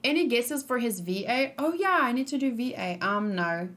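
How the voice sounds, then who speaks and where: A woman streaming live, chatting casually and thinking aloud as she reacts and answers her chat, with plenty of pauses and restarts. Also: fairly high pitch, expressive, fairly bright tone.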